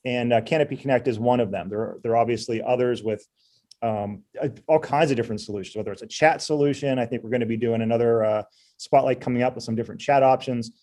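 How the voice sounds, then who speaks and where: A man's voice: medium pitch, fairly even intonation, fast talker, warm tone. A middle-aged man hosting a casual business webinar over a video call, chatty and easygoing with many fillers. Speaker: webinar host.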